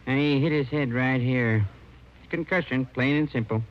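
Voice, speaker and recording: A man's voice: mid-range voice, normal speed, close to an everyday voice. Casting: male actor for testy folksy western coroner, middle register, muffled old radio sound. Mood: matter-of-fact. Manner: folksy, blustering. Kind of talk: 1950s radio acting. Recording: dull, band-limited vintage radio recording.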